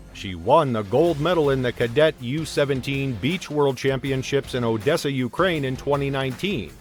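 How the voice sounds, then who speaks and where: Man, narrator, middle pitch, steady scripted delivery, faint music behind. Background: faint background music.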